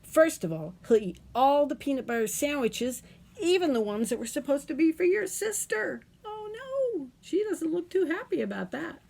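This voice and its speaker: A woman reading a children's picture book aloud as a storytime reader, expressive and playful, adding chatty asides and questions for the listeners. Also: high-pitched, moderate pace, vocal fry.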